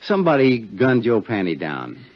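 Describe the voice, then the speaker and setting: A man's voice: on the low side, wildly swooping pitch, moderate pace. An American man acting an anxious, self-doubting insurance man in a 1950s radio drama, earnest, folksy and fretful. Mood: worried. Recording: muffled 1950s radio recording.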